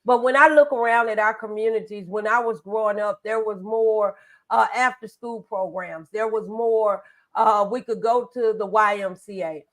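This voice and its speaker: A woman's voice: medium pitch, fairly even intonation, normal speed, low-quality recording. A woman hosting a motivational talk show, speaking in an earnest, emphatic, conversational way.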